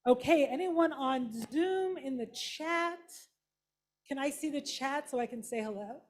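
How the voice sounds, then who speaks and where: A woman from Brooklyn welcomes a congregation in a high, friendly voice. She talks informally and greets people by name as she goes. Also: unhurried. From Brooklyn, New York. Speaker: service leader. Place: prayer hall with attendees and online viewers.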